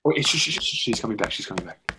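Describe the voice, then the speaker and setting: A man's voice: on the low side, very animated, fast, very noisy. A man acting a young sailor, an easygoing and cheeky only son, in a play reading. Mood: hushed urgency.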